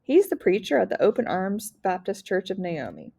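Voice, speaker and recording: A woman's voice: medium pitch, wildly swooping pitch, normal speed, vocal fry, mellow, slightly rough, full character voice. A woman voicing an earnest, eager young girl in a storybook, pleading sincerely in folksy phrases. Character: earnest, eager young Southern preacher's daughter. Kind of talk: storybook read-aloud character voice.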